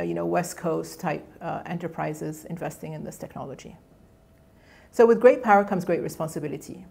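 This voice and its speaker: A middle-aged woman whose first language is Egyptian Arabic speaks from a lecture podium. Her voice sits low with a gravelly, creaky edge. She talks in a loose, conversational way, often breaking off and restarting her phrases. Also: darkish tone. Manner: conversational, with frequent restarts and fillers. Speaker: guest lecturer. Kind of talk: academic colloquium talk. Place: lecture hall.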